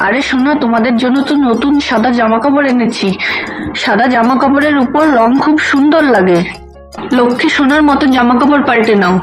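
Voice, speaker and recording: A woman's voice: high voice, a little fast, over music, lightly acted, poor audio. A woman playing a caring mother in a children's cartoon, instructing in a coaxing, motherly way.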